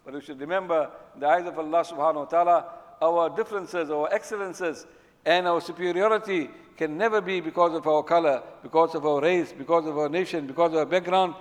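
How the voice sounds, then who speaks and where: Fast-talking male preacher in an echoey hall. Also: on the high side, steady tone. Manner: emphatic, repeats phrases for stress. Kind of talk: religious sermon. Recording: echoey hall with long reverb.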